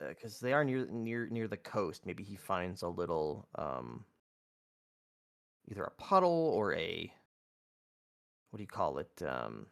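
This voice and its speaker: A man improvises his turn in a tabletop game. He speaks casually and hesitantly, thinking aloud, and his pitch moves up and down a lot. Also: very animated, measured pace, vocal fry, pauses often. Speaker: player in a tabletop roleplaying game.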